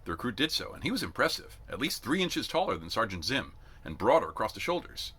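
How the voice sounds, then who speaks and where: A man reading a novel aloud as an amateur narrator, in an expressive storytelling style. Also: mid-range voice, quick pace, breathy edge. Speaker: amateur reader.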